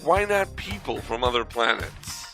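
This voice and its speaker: A man's voice: medium pitch, very animated, measured pace, bright, forceful tone, soft background music, full character voice. A man playing an indignant, idealistic phone-in caller in an audio drama, insistent and earnest. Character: indignant, idealistic citizen calling a radio phone-in.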